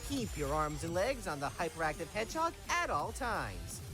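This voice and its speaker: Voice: medium pitch, very animated, normal speed. A performer voicing a cocky, carefree young male cartoon hedgehog hero with a breezy, playful manner in a comic dub. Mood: confident, playful. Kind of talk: animated comic dub acting. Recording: music under the voice.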